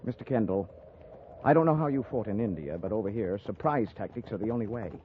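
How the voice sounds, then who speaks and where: A man playing an overconfident young cavalry lieutenant in a 1950s radio western, brisk and commanding. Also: fairly low pitch, expressive, phone-quality sound, lightly acted. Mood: eager, bold. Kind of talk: 1950s radio drama acting. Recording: noisy old radio recording.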